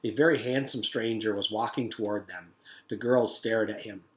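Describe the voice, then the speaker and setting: A man's voice: fairly low pitch, fairly even intonation, normal speed, echoey room, rough recording. A man reading a children's story aloud as a teacher would to his class. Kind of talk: storybook read-aloud with character voices.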